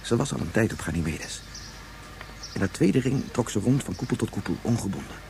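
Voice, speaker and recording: An actor playing an anguished, regretful scientist of great age in a Dutch science fiction radio play, speaking haltingly and turning back on his own words. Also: fairly low pitch, very animated, vocal fry, background noise. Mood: brooding, regretful. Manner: halting, repetitive, ruminative. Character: anguished 177-year-old scientist, brooding, regretful father.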